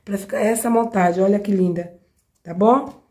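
A hoarse Brazilian woman with a cold hosts a craft tutorial. She chats with her viewers while she demonstrates each step, often checking that they follow. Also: mid-range voice, steady tone, moderate pace, warm tone. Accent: Brazilian Portuguese. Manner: chatty, addresses viewers directly with frequent checks. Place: home craft table, indoors.

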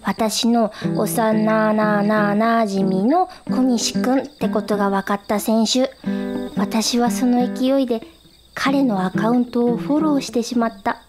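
A woman acts as a wistful young woman narrating a radio drama. Her voice is fairly high and her delivery slow and deliberate, with music behind. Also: natural voice. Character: wistful young female blog reader, childhood friend of the writer. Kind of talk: scripted radio drama monologue.

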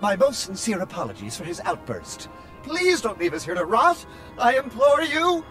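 Fussy, servile protocol droid for a game: very animated delivery, ornate politeness, music underneath. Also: male voice, sits high, moderate pace, exaggerated voice. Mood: apologetic, pleading. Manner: prim, wordy, over-polite. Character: fussy, fretful male translator droid, servile and formal. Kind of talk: theatrical video game acting.

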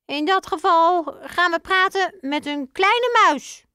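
A woman voicing a fussy father mouse in a children's storybook, squeaking between phrases. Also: expressive, slow, sharp tone, dry recording, studio quality, heavily acted. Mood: pleased.

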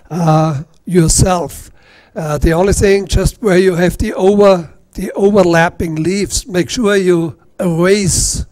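A middle-aged man with an Austrian accent giving a casual, halting workshop demonstration, with self-deprecating asides. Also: sits high, darkish tone, dry recording. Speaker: woodturning demonstrator. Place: club meeting room.

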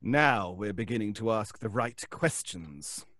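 A wry, lilting, polite estate lawyer. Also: male voice, lowish voice, animated, fairly bright tone, no room sound. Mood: polite, composed. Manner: polite, measured, slyly amused. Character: smooth, wryly patient lawyer serving a dead boss. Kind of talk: audio horror drama acting.

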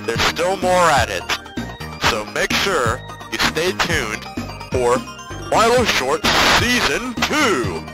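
A man's voice: fairly high pitch, very animated, slow pace, poor audio. A man playing a level-headed young cartoon hedgehog who hosts an amateur audio drama, casual and slangy. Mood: upbeat hosting. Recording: loud music behind the voice.

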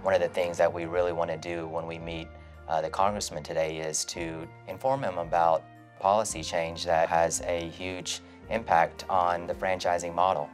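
A man's voice: low voice, little pitch movement, moderate pace, soft background music. A man who owns a hotel, speaking in a documentary interview indoors. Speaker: hotel owner.

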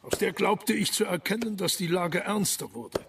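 An actor playing a pious, self-important German emperor in a solemn, declamatory manner for a radio drama. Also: male voice, high-pitched, normal speed, creaky, distant mic, background noise. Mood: sober, reporting.

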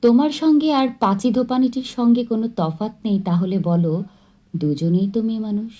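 A woman's voice: a little high, moderate pace, dark tone, voice pushed up, natural voice. A woman voicing a young male admirer, teasing and mock-serious, in an audiobook story.

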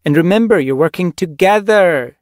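Casting: senior she-cat mentor, played by a narrator, commanding and firm, raised pitch, animal audiobook. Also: very animated, moderate pace, a little mellow, no echo, clean studio sound, close to an everyday voice. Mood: instructing. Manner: authoritative, instructing.

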